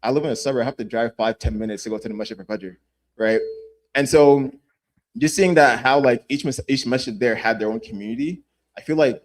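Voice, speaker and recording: Young guy on a youth panel, casual, lots of "right?", mid pitch. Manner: casual, says "right?" a lot. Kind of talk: panel discussion.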